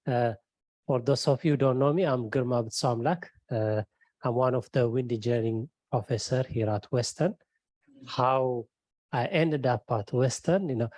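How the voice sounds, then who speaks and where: A middle-aged man with an Ethiopian accent, a professor on a panel, telling his story in a relaxed way, often adding "you know". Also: mid-range voice, dry recording. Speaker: wind engineering professor, panelist. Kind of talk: panel discussion answer.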